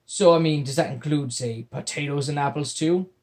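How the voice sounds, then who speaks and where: A man voicing a short, grumpy male pirate with a wooden leg, speaking in a gruff, drawling pirate dialect. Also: a little high, normal speed, a little mellow, close to an everyday voice.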